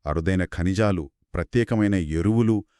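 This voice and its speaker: A man's voice: deep voice, normal speed, mellow, no room sound, clean studio sound. A man co-hosting a conversational story discussion in an analytical, explanatory way. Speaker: podcast co-host.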